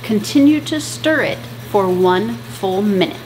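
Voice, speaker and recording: A woman in her thirties talking to camera in her home kitchen, giving chatty, brisk canning instructions. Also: medium pitch, animated, darkish tone, slight background noise, rough recording. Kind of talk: casual how-to talk to camera. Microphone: camera at close range.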